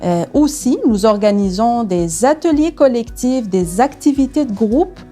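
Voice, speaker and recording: A woman's voice: a little high, expressive, measured pace, mellow, faint music behind. A woman speaking as an organisation's spokesperson, explaining its work in an interview. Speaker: spokesperson for a newcomer support organisation.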